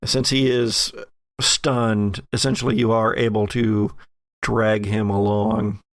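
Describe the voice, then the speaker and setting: A man narrating a horror tabletop game as game master, speaking in a halting, deliberate way and repeating words for emphasis. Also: on the low side, level tone.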